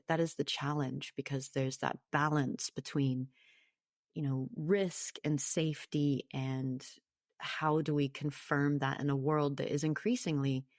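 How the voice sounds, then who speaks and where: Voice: mid-range voice, no echo, clean studio sound. A podcast guest talking conversationally in an interview, reflective but emphatic.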